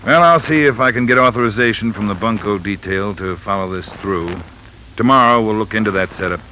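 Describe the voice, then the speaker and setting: An actor playing a gruff, sceptical, unhurried police sergeant in 1950s radio detective drama style, matter-of-fact and muttering. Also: male voice, on the low side, close to an everyday voice. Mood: resigned, cautioning. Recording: muffled, noisy vintage radio recording.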